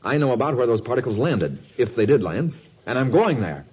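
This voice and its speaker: An actor playing an eager, stubborn young scientist, earnest and emphatic, in a 1950s radio drama. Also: male voice, mid-range voice, big pitch swings, fairly quick, a little mellow, slightly rough. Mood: defiant. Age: young adult. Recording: dull lo-fi 1950s radio recording.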